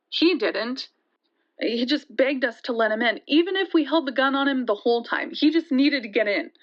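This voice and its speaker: Audio drama: actress as rattled young female storyteller, higher-pitched, conversational and hesitant, slightly muffled lo-fi sound. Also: close to an everyday voice. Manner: halting, conversational, with hesitations and restarts. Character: shaken young woman recounting a terrifying night. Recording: dull, lo-fi sound.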